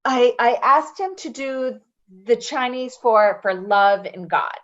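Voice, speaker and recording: An actress playing a brash, chatty, defensive caregiver in a naturalistic play reading, rambling and halting as she goes. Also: fairly high pitch, a bit bright, dull audio. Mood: eager, defensive. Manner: rambling, halting, with nervous laughter. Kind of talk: naturalistic readers theater acting.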